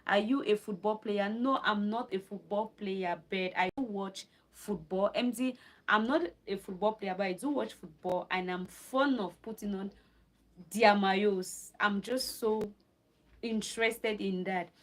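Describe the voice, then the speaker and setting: A woman's voice: mid-range voice, measured pace. Cameroonian woman hosting a livestream. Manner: repeats phrases, reads from notes, answers viewer comments, asks for likes. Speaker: livestream host. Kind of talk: live stream talk reading notes and chatting with viewers.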